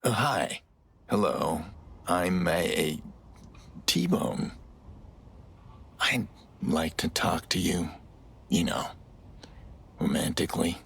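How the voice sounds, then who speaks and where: A haggard male hacker outlaw from a video game. His deep voice is gravelly, and he talks slowly in gruff, blunt, terse lines. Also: lively intonation, a bit bright, heavily acted. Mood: awkward flirting. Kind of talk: dramatic video game cutscene acting.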